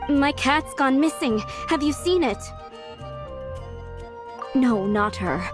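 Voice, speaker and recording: A high-pitched, friendly young townswoman from a game. She is chatty and pleading, keen to get her favour done, with faint music underneath. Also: animated, slow pace. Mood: worried. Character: friendly, pushy young restaurant woman asking favours. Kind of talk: video game voice acting.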